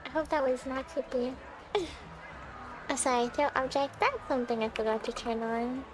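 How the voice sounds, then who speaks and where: A woman's voice: high voice, fairly even intonation, moderate pace, over music, poor audio. A woman live streamer chatting casually with her viewers as she plays a game, in a playful, giggly, teasing way.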